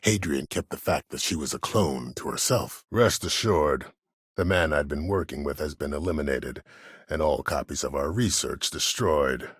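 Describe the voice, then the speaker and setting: A very deep, husky, calculating schemer. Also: male voice, fairly bright tone, no echo, clean studio sound, heavily acted. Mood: calm, calculating. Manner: measured, formal, silkily polite. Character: calculating, courteous, menacing older male military schemer. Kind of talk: dramatic video game voice acting.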